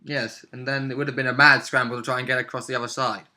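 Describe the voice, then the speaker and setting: A man's voice: mid-range voice, flat delivery, fast, bright, forceful tone. A man chatting casually as a tabletop game player, often chipping in with brief agreement. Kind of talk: casual chat.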